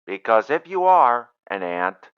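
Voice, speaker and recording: A man doing a loud, bossy doctor. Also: medium pitch, very animated, measured pace, clean tone, highs cut off. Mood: brisk. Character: brisk, loud doctor on the phone.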